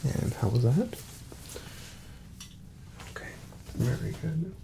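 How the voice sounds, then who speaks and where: A man speaking softly and breathily, often near a whisper, in a gentle, reassuring ASMR doctor roleplay. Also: medium pitch, expressive, slight background noise, low-quality recording. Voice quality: soft-spoken, breathy, often dropping to a whisper. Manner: gentle, reassuring, unhurried murmuring to the listener. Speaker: ASMR performer playing a doctor. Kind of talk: ASMR medical exam roleplay.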